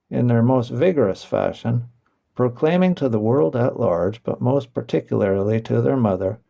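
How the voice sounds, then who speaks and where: Gravelly man reading a children's storybook. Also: medium pitch, dark tone. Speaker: audiobook narrator. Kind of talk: scripted children's storybook narration.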